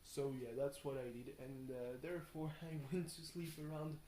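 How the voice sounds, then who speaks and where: A tired young man rambles casually through a video diary, halting and thinking aloud, often questioning himself. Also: fairly high pitch, steady tone, warm tone. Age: young adult. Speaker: video blogger. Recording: roomy echo, faint background noise.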